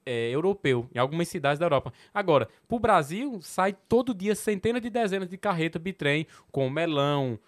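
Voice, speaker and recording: A young man from Petrolina with a sertão Pernambuco accent, a comedian chatting on a podcast, animated, laughing often and swearing casually. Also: fairly high pitch, normal speed, hardly any pauses. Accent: Pernambuco sertão, São Francisco valley. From Petrolina, Pernambuco, Brazil.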